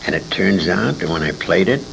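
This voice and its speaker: Very deep, gravelly older man reminiscing. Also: lively intonation, fairly quick, background noise. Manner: rambling, self-correcting storyteller. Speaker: interview guest, former radio actor. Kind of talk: reminiscing interview talk.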